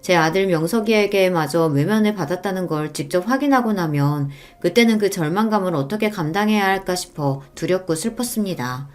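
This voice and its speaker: A woman's voice: fairly low pitch, lively intonation, a little fast, few pauses. A woman reading a scripted first-person story in a polite, plaintive storytelling manner. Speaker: story narrator.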